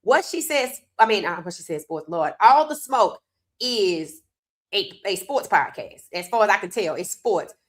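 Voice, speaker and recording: A woman's voice: mid-range voice, expressive, a little slow. Congested woman hosting a sports podcast. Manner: chatty, loose and conversational, talking straight to viewers. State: congested from a cold. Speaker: podcast host. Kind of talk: casual sports commentary.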